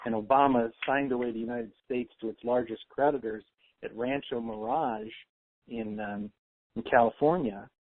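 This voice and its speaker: A man's voice: mid-range voice, steady tone, moderate pace, no echo. A man hosting a casual conference-call discussion, explaining things in an earnest, conversational way. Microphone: telephone. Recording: tinny, phone-line conference call.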